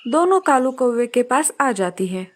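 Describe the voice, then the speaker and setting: A woman storyteller reading scripted narration for a children's animated tale. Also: a little high, a little slow.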